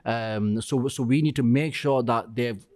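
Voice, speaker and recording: Earnest, halting middle-aged man. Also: medium pitch, a little mellow. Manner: earnest, halting, full of "you know". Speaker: restaurant co-founder, guest. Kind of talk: podcast interview.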